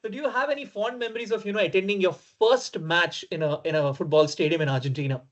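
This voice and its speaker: A man's voice: high-pitched, fairly bright tone. A man of Indian origin hosting a podcast, asking questions in casual chat and often saying "you know". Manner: quick, with frequent "you know" and short reactions. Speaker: podcast host. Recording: dull lo-fi remote audio.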